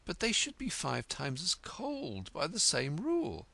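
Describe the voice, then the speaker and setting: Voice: mid-range voice, huge pitch swings, unhurried, creaky, pushed and bright, dry recording, voice pushed up, heavily acted. A storybook reader voicing a polite young girl who answers earnestly and carefully.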